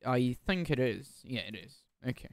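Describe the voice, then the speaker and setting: A man's voice: medium pitch, lively intonation, moderate pace, vocal fry, no room sound, studio quality. A young man from Bulgaria, chatting casually as a streamer while playing, often repeating words and asking himself confused questions. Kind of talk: casual gameplay commentary.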